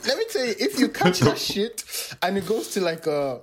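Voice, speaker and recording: A man's voice: high-pitched, sing-song, normal speed. A young man, co-hosting a podcast, talking emphatically and swearing freely. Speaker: podcast co-host. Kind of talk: casual banter.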